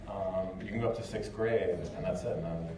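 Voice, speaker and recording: Low-voiced man chatting in an echoey hall. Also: fairly even intonation, brisk. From United States. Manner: loose and chatty, many fillers and restarts. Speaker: lecturer and translator. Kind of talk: casual Q&A after a talk. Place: lecture hall. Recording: echoey hall, distant mic, noisy.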